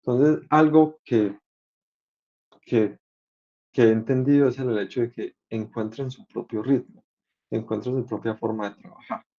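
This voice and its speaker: A man's voice: mid-range voice, steady tone, a little slow, pauses often, dark tone, dull audio. A man giving an informal, explanatory career talk, conversational and mixing English tech words into his speech. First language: Spanish. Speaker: senior software engineer giving a talk.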